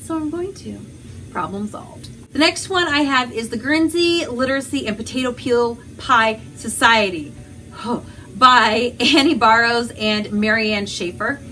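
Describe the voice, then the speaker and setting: A woman chatting casually as a book vlogger, animated and rambling, with self-deprecating asides. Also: on the high side, a little slow, slight background noise, low-quality recording. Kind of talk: casual chatty vlog to camera.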